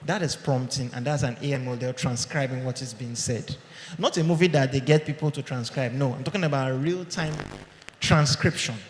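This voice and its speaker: A man's voice: mid-range voice, brisk. A man giving a conversational live tech talk to an audience, often checking in with "okay?", recorded on a mic in a large hall. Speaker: tech conference speaker. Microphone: handheld or podium mic. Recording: echoey hall with long reverb.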